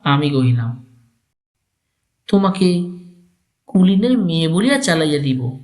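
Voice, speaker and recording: A man acts out an elderly village uncle from a dramatized classic story. He talks very slowly and formally, laying out his schemes with cool calculation and deliberate phrasing. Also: fairly high pitch, big pitch swings, darkish tone. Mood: calculating, persuasive. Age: older. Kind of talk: dramatized literary story reading.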